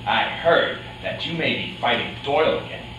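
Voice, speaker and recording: A man's voice: mid-range voice, normal speed, close to an everyday voice. A man playing a pushy, menacing gangster fixer in a staged play reading. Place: indoor room, live staged reading. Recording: echoey room, distant mic, faint noise.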